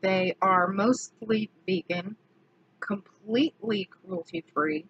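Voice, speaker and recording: A woman, slightly hoarse from allergies, chatting casually through a makeup product review and correcting herself as she goes. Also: mid-range voice, highs cut off, background noise. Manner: chatty, self-correcting, slow and deliberate. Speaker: beauty vlogger.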